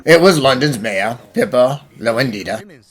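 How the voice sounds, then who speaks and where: A man's voice: medium pitch, animated, moderate pace, fairly bright tone, faint music behind, lightly acted. A man voicing a dry, slightly exasperated police inspector in an anime fan dub, matter-of-fact and wry. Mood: serious.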